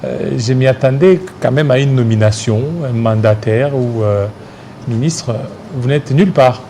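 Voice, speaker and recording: A man's voice: mid-range voice, measured pace, mellow, a little hiss or hum. A man with a Congolese French accent hosting a political interview, putting probing, challenging questions. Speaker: interviewer, host.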